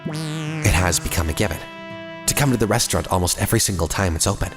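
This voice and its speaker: Guy voicing polite earnest young office guy telling his story, measured but pitch moves a lot, music under it. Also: sing-song. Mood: narrating, concerned. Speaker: voice actor narrating and playing the lead. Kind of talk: dubbed comic-drama narration and dialogue.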